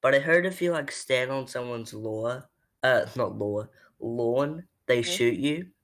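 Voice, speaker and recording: A man with an accent others mistake for British, co-hosting a casual podcast in an excitable, rambling way. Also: mid-range voice, takes it slowly. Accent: non-American accent others take for British. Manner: chatty, excitable, lots of "like" and "bro". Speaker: podcast co-host.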